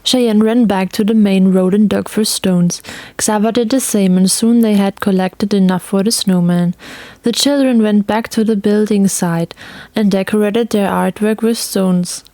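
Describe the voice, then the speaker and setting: A woman's voice: medium pitch, fairly even intonation, a little slow, mellow, no echo. A woman narrator reading a scripted story.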